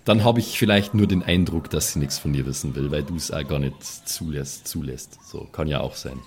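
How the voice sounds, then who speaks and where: A man's voice: very deep voice, moderate pace, darkish tone, slight background noise, pitched below the performer's own voice. A man playing a brash, cocky young woman from a band in an improvised audio role-play, casual and swaggering.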